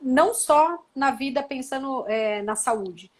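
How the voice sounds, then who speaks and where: A woman in her thirties from Brazil, a doctor and guest, answering interview questions in a measured, reflective way and often adding tag questions. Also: high-pitched, lively intonation. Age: 30s.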